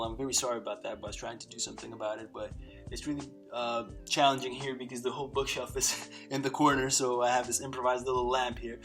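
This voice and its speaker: A man's voice: mid-range voice, fairly bright tone. A man whose first language is Slovak, talking casually to camera by his bookshelf, rambling with many fillers and restarts. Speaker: polyglot vlogger. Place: small room by a bookshelf lit by a lamp.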